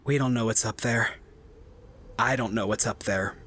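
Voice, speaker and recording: A man's voice: mid-range voice, moderate pace, fairly bright tone, close to an everyday voice. A man playing a haunted, moody young hero in an audio drama, hesitant and brooding. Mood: brooding, reluctant.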